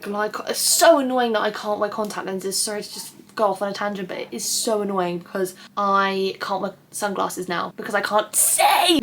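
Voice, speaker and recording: A young English woman in her twenties chatting casually to camera in her bedroom, rambling and swearing with a self-described whiny voice. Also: medium pitch. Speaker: vlogger. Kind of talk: casual vlog chat. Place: bedroom at home.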